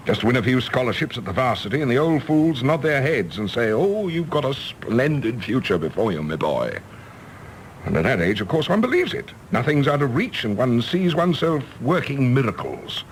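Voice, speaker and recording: An actor playing a disillusioned middle-aged English diplomat, bitter and self-mocking, in an impassioned radio-drama style. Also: male voice, medium pitch, big pitch swings, moderate pace, a little hiss or hum, low-quality recording. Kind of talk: stage-play radio drama acting.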